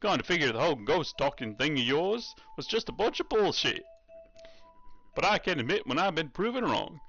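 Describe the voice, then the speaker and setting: A man's voice: on the high side, very animated, moderate pace, fairly bright tone, dull audio, faint music behind, exaggerated voice. A man voicing a laid-back, teasing cowboy ghost with a Southern US accent and a drawling, folksy way of talking. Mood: laid-back, candid.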